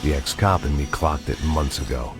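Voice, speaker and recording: Very deep, world-weary noir ex-cop narrator. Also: male voice, normal speed. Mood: bitter, wry. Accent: American. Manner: deadpan, bitter, sardonic. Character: world-weary, hard-drinking middle-aged male ex-cop narrator. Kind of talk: noir scripted voice-over narration. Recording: music behind the voice.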